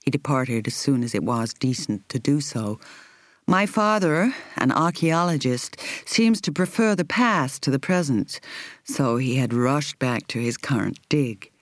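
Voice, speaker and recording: A woman reading a first-person novel as an audiobook narrator, in a measured, reflective, carefully articulated way. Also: a bass voice, expressive, dry recording, studio quality.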